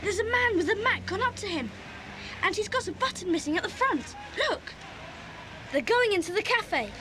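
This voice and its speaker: Excitable kid detective, high bouncy voice, eager, old cassette hiss. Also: female voice, moderate pace. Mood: hushed excitement. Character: excitable, eager child sleuth. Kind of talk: children's audio dramatisation. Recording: hissy old cassette recording.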